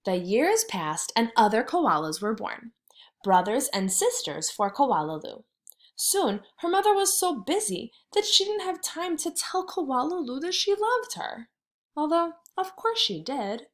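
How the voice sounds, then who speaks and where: A woman with a fairly high, very lively voice leads a read-aloud lesson for small children. She speaks as a kindergarten teacher, explaining simply and thinking out loud. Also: unhurried.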